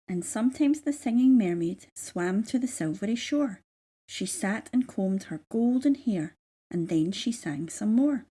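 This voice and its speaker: A woman narrates a rhyming children's picture book. Her voice sits on the high side with a soft, mellow tone, and she keeps a measured, rhythmic delivery. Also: breathy edge. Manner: measured, rhythmic verse reading. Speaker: storybook reader. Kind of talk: children's story read-aloud.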